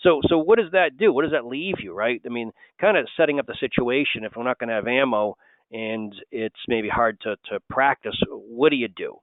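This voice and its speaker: A man's voice: mid-range voice, a little fast, no room sound. A man hosting a sales webinar in a casual, loose way, full of restarts and filler words. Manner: loose and conversational, many "uh" and restarts. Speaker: host, wholesale company president. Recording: tinny phone-like webinar line.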